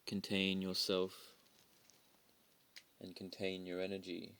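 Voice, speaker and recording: Very slow, low-pitched solemn man. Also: completely flat delivery, creaky, a bit bright, clear, resonant tone. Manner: solemn, deliberate short declarative phrases. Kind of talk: dramatic spiritual monologue.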